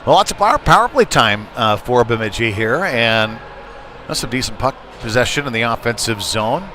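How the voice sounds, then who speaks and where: A middle-aged or older man doing live ice hockey play-by-play in a conversational, halting way with short clipped phrases. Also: mid-range voice, animated, sharp tone. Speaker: play-by-play commentator. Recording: noisy live arena sound. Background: rink noise and ambience.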